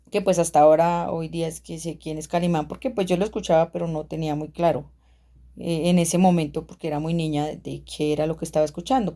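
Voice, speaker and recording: A woman in her forties with a Colombian accent shares memories in casual speech. Her voice is low and dark. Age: 40s. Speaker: interviewee. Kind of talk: casual recollection.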